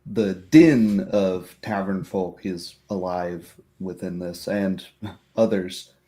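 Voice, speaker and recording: A man narrating a tabletop fantasy game as game master, in measured, atmospheric phrases. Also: low-pitched, mellow.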